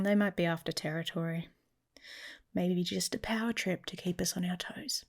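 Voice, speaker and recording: A woman playing a guarded, teasing young female werewolf in an intimate ASMR roleplay, with a wry, casual manner and a breathy, husky voice that often drops to a whisper. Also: fairly low pitch, a little mellow, heavily acted.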